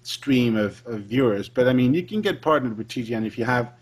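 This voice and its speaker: A man's voice: mid-range voice, animated, fairly quick. A man who is a guest on a casual podcast, explaining things and often restarting his sentences. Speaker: guest Let's Player.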